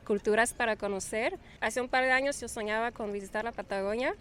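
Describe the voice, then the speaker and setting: Lady, kinda high voice, laid-back interview chat w lots of ums, faint room noise. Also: fairly quick. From Quebec, Canada. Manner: relaxed, laughs often, hesitant fillers. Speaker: guest. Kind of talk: casual interview conversation.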